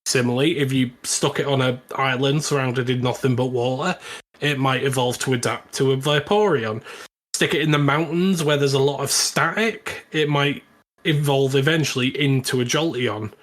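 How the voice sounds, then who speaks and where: A man with a middle-pitched voice talks as a podcast co-host. He explains things in a casual, conversational way, as banter among a group. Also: normal speed, fairly bright tone.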